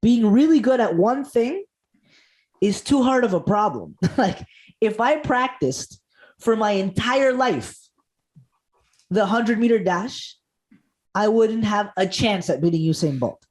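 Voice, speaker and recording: A man's voice: sits high, animated, a little slow, pauses often, darkish tone. A man from Costa Rica, a comedian guest on a podcast, telling stories with enthusiasm and jokes and laughing often. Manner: enthusiastic, jokey, laughs often, restarts words. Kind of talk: animated podcast storytelling.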